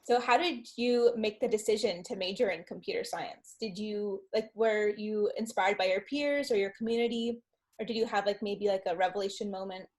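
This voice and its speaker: A woman's voice: medium pitch, moderate pace. A young woman hosting an interview show, reading an earnest introduction and asking questions.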